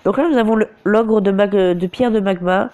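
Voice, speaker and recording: A man's voice: high-pitched, normal speed, a little mellow, highs cut off. A man with a cold and a sore throat, giving casual gameplay commentary on a phone recording, often stumbling and correcting himself. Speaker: mobile game commentator. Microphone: phone screen recorder.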